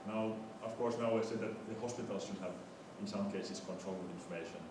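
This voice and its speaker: Distant-miked man, academic lecture, halting and repetitive delivery, clear rumbling noise, roomy echo. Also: fairly even intonation. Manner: hesitant, halting delivery with stammered word repetitions. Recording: very echoey room, distant mic, noisy rumble.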